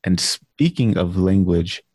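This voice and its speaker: A man's voice: deep voice, lively intonation, slow pace, darkish tone, breathy edge, no echo, clean studio sound. A man hosting a podcast, talking in a laid-back, blunt way and swearing casually. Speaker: podcast host.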